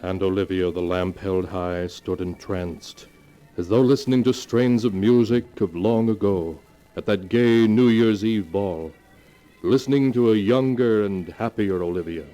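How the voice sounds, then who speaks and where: A male narrator tells a mystery tale in 1940s radio style. His voice is fairly low, solemn and theatrical. Music plays behind him on the vintage recording. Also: a little slow, mellow, muffled recording.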